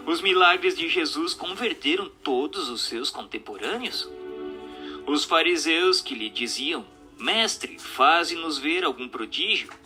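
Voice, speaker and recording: Um ator brasileiro interpretando uma voz solene e instrutiva numa radionovela espírita, em tom formal e declamatório. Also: male voice, a little high, sharp tone, full character voice. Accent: Brazilian Portuguese. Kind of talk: scripted radio drama reading of a spiritist question-and-answer dialogue.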